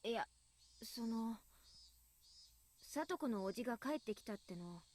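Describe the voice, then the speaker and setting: A woman's voice: fairly high pitch, lively intonation, fairly quick, a little mellow. A woman playing an uneasy, tomboyish teenage schoolgirl in a Japanese anime visual novel. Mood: timid, guilty.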